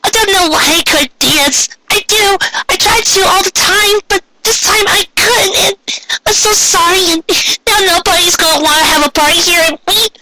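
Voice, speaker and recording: A man voicing a distraught young female cartoon pony, tearful and childlike, pleading in a squeaky voice and repeating herself. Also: moderate pace, background noise. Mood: distraught, panicked. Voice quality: shrill, squeaky. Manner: pleading, repetitive, wailing.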